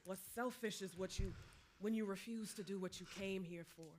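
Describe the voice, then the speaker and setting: A woman's voice: mid-range voice, moderate pace, darkish tone, slight background noise, close to an everyday voice. A woman in a staged play reading, playing a wise, streetwise mentor who probes and admonishes in slangy, insistent speech. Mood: urging.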